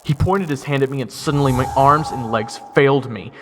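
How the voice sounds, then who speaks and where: A man's voice: medium pitch, brisk, noisy background. Male dramatic storyteller with long reverb. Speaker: narrator and hero of an audio roleplay. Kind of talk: first-person dramatic scripted narration. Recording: long reverb, music under the voice.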